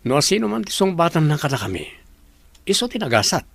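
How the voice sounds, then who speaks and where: A man's voice: fairly high pitch, very animated, brisk, gravelly, breathy edge, no room sound, full character voice. A man playing an earnest, polite young boss and suitor in a radio drama. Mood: good-natured confidence.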